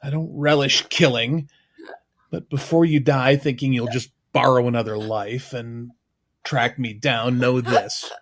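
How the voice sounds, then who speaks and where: An actor playing a menacing male stranger in an audio drama, taunting his prey in a deliberate, theatrical manner. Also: mid-range voice, steady tone. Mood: taunting, gloating. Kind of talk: audio drama villain acting.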